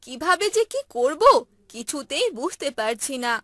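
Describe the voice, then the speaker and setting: Lady doing old village matriarch in a bengali cartoon, high complaining voice, swings up and down, music under. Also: sing-song, normal speed, no room sound. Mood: exasperated. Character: exasperated elderly mother-in-law, sharp-tongued village matriarch.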